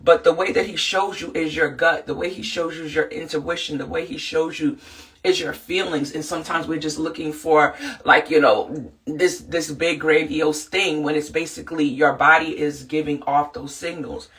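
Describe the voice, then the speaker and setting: A woman's voice: low-pitched, steady tone, normal speed, a bit bright, far from the mic. Congested woman in an earnest, rambling chat. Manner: earnest, rambling, many fillers. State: congested from flu. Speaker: podcast host and counselor. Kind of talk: casual motivational talk.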